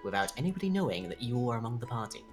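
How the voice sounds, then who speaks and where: A man narrating a tabletop role-playing game as dungeon master in measured, wordy phrasing. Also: medium pitch, very animated. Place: home room, online video call. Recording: music behind the voice.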